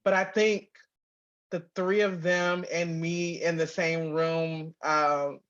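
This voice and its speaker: A woman's voice: on the low side, flat delivery, takes it slowly. A woman giving a casual, informal interview, thinking aloud and repeating phrases as she goes. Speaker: interview guest, audio drama writer. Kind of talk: casual chat. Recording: slightly dull, lo-fi audio.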